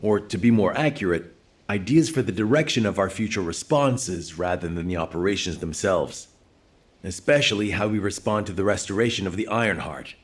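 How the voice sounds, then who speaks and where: An actor playing a careful, analytical military strategist in a game cutscene, measured and formal. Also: male voice, low voice, natural voice.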